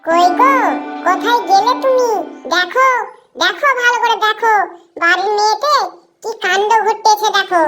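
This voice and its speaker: A woman's voice: extremely high pitch, normal speed. A woman playing an angry, dramatic village mother in a cartoon drama, complaining about her daughter. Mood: angry, scolding. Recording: music behind the voice.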